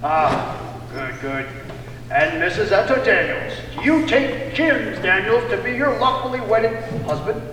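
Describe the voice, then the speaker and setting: A man playing a bold, formal 1850s gentleman with deliberate, theatrical delivery in a children's puppet show. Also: high voice, animated, noisy background. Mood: solemn, ceremonial. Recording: echoey hall from far off, music.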